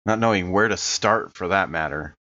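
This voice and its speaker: Male tabletop player, low voice, casual improvised narration, slightly dull recording. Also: moderate pace, sharp tone.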